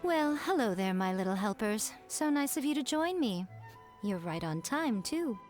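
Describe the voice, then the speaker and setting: A woman's voice: on the high side, sing-song, normal speed, darkish tone. A woman voicing a sly, elegant fox shrine priestess in a video game, playful, teasing and self-assured. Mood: coaxing, smug. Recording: music behind the voice.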